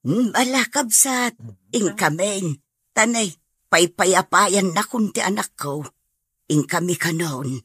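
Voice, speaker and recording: A woman acting a devout, sympathetic village mother in a radio drama, gentle and neighbourly, with an Ilocano accent. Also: mid-range voice, lively intonation, takes it slowly, husky, no room sound, clean studio sound, heavily acted. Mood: friendly.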